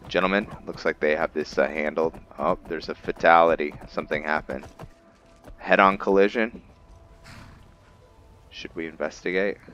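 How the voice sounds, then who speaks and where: A man giving casual let's-play commentary and roleplay narration in a halting, musing way, often correcting himself. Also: a bass voice, steady tone, fairly bright tone, dull audio, faint music behind. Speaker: host of a roleplay gaming series.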